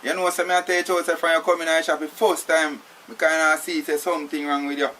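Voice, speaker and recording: A man's voice: on the high side, little pitch movement, fast talker, sharp tone, slight background noise. A man playing a streetwise captive who is tied up, speaking in Jamaican Patois, irritable and constantly adding "you know". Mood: demanding.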